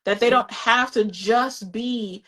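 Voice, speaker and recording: Fifties woman, live-stream host, chatty and rambling, a bit high-pitched. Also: takes it slowly, a bit bright. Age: 50s. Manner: chatty, rambling with many "like" fillers. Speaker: livestream shopping host. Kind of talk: casual live chat.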